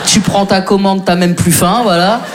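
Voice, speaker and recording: A woman's voice: medium pitch, fairly even intonation, moderate pace, heavy background noise. A woman performing a stand-up routine on stage, brash, slangy and self-mocking. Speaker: stand-up comedian. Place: comedy stage with audience.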